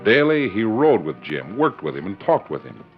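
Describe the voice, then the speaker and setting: A man's voice: on the low side, very animated, moderate pace. A man narrating a 1940s radio western in a dramatic, scripted storytelling style. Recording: muffled old radio recording. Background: music.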